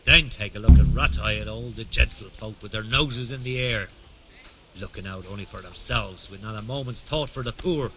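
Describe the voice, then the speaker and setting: An actor playing a wily, aggrieved beggar and pickpocket in a video game, plaintive and streetwise. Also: male voice, fairly low pitch, moderate pace, a bit bright, a little hiss or hum, natural voice. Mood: grumbling, persuasive. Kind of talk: video game dialogue acting.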